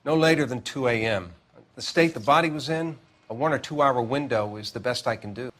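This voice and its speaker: A man's voice: mid-range voice, brisk, fairly bright tone, a little hiss or hum, close to an everyday voice. A man playing a composed coroner in a video game, speaking in a precise, detached, formal way. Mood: clinical, detached. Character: clinical, composed male coroner.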